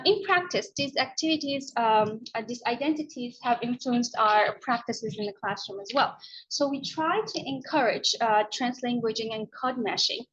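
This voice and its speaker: A woman's voice: fairly high pitch, fairly bright tone, reverberant. Woman presenting, hesitant. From Turkey. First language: Turkish. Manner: hesitant, with frequent uh and um. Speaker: conference presenter. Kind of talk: academic conference presentation. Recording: dull lo-fi recording with faint noise.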